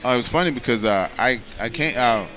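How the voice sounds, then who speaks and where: A man's voice: medium pitch, lively intonation, fast talker, over a noisy crowd. An American man in his late thirties, a boxer chatting casually as an interview guest, earnest and rambling, often saying "you know.". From United States.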